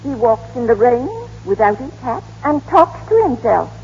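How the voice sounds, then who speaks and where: An actress playing a prim, gossipy small-town spinster in comic old radio drama, folksy and matter-of-fact. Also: female voice, fairly high pitch, expressive, moderate pace, darkish tone, breathy edge, heavily acted. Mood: prim certainty. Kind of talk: 1930s-40s radio drama comic acting. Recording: echoey, muffled, noisy old radio broadcast.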